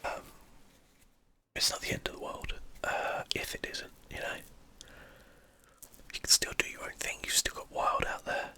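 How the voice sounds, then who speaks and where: Soft breathy ASMR whisperer playing games. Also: normal speed, long pauses. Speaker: host of a game play channel. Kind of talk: ASMR whispered gameplay commentary.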